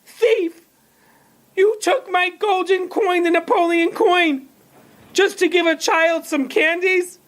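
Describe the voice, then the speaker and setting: A man's voice: moderate pace, a bit bright. A man voicing a frantic mother who shrieks accusations at a shopkeeper, in a storytelling teacher's classroom tale. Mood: furious. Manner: shrill, accusing, hurls insults. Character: frantic shrieking mother, middle-aged woman.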